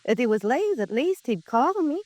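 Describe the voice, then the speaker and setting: An actress playing an anxious, plain-spoken middle-aged housewife in a 1950s radio police drama. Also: female voice, high voice, big pitch swings, moderate pace, darkish tone, dry recording. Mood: worried, insistent. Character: small middle-aged housewife, anxious about her missing husband.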